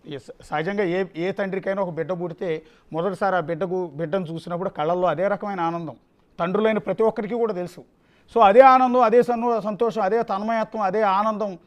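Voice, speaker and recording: A male news anchor with a distinctly high voice. He comments live and off the cuff, driving points home by repeating his phrases with emphasis.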